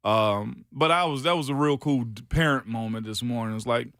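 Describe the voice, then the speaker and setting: A man's voice: medium pitch, moderate pace, fairly bright tone, no echo, clean studio sound. A middle-aged man hosting a sports radio show, talking in a laid-back, slangy drawl. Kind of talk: casual morning radio chat. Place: radio studio. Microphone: studio microphone.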